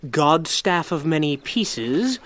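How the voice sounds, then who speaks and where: Man playing a haughty, unhurried elf mage. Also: a little high, big pitch swings, a bit bright, slight background noise, heavily acted. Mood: disdainful. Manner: pompous, disdainful, formal. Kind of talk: fantasy audio drama acting.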